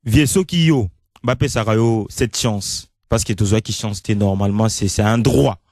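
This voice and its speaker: Congolese man hosting radio talk, lowish voice. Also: normal speed, a little mellow, no echo, clean studio sound. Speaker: radio host. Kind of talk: conversational radio talk, code-switching.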